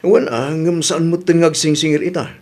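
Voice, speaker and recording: A man's voice: a little high, fairly even intonation, normal speed, a little mellow. A man playing a broke husband in an amateur radio drama, coaxing his way toward another loan in a conversational manner. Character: broke husband casually pushing to borrow again.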